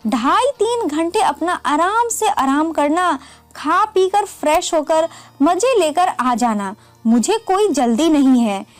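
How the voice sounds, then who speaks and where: A woman's voice: high-pitched, animated, slow pace, no echo, faint music behind. A woman narrator voicing an insistent, secretive sister-in-law with a demanding manner in an audio story.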